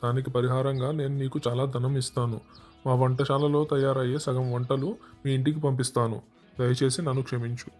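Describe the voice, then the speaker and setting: An actor playing a proud king in a cartoon, speaking in a formal, measured way. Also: medium pitch, little pitch movement, darkish tone, natural voice. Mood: remorseful. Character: proud adult king, decisive, later remorseful. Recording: music under the voice.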